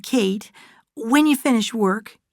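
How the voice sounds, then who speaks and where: A woman's voice: on the high side, slow, gravelly, darkish tone, no echo, studio quality, voice pushed up. A woman voicing an emotional, doting older mother in a storybook, gushing and insistent as she talks. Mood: tender, excited. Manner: gushing, exclamatory. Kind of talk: dramatised audiobook dialogue.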